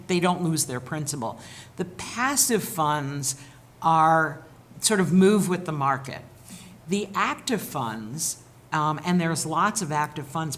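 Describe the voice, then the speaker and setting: Low-voiced woman explaining investment funds. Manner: plain, patient explanation. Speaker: panelist, retirement finance expert. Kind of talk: explaining to an audience. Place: event room with audience.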